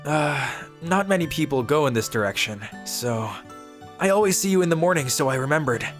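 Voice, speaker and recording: A woman plays a shy, sleep-starved teenage boy from a manga dub, pitched low for her and speaking softly with little hesitations. Faint music plays behind him. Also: lively intonation, fairly quick. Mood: shy polite. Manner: soft-spoken, hesitant.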